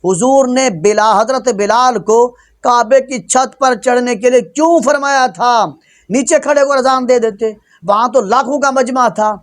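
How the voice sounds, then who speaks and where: Guy preaching islamic sermon, really high voice, argues his point hard, scolding. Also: unhurried. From Pakistan. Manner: emphatic, admonishing, rhetorical questioning. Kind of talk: religious sermon, argumentative rebuttal.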